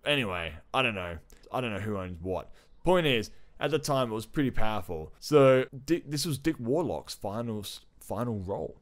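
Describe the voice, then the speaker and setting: A man's voice: on the low side, expressive, moderate pace. A man co-hosting a casual pop culture podcast, enthusiastic and slangy, swearing freely as he chats. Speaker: podcast co-host.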